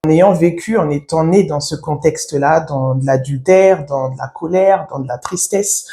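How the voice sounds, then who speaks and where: Halting woman in her thirties giving testimony. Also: low-pitched, warm tone. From France. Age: 30s. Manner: halting, reflective, many pauses. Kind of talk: personal faith testimony. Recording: echoey room.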